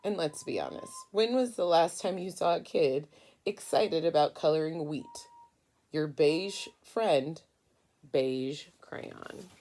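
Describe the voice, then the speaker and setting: A woman's voice: low-pitched, animated, takes it slowly, mellow, exaggerated voice. A woman voicing a proud, slighted beige crayon from a storybook, indignant and emphatic.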